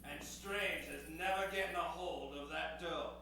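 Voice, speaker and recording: A man playing a gruff, threatening Old West sheriff in an improvised radio comedy. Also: on the high side, moderate pace, far from the mic, close to an everyday voice. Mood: defiant. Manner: threatening, stubborn. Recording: echoey hall from afar, music behind.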